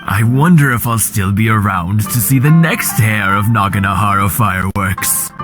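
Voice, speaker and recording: Man playing a gentle old man. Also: on the low side, expressive, moderate pace, natural voice. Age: older. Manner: gentle and wistful. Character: gentle elderly man, grateful old customer. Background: game background music.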